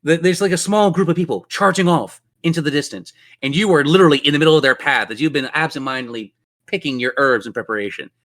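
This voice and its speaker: A man's voice: fairly high pitch, fast talker, a bit bright. A man running a tabletop role-playing game as game master, telling the story in a descriptive, theatrical way.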